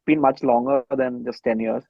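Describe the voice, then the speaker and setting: Gravelly Indian man on a phone-like line. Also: mid-range voice, fairly even intonation, normal speed, dry recording. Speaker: band musician being interviewed. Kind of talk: casual chat, recounting. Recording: thin phone-like line, dry.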